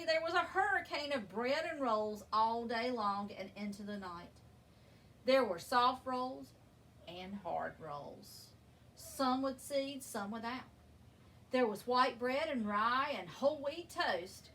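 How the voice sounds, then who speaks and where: A woman reading a children's picture book aloud in an expressive, deliberate storytelling manner, leaning on key words. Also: fairly high pitch. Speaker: story reader. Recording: distant microphone with background noise.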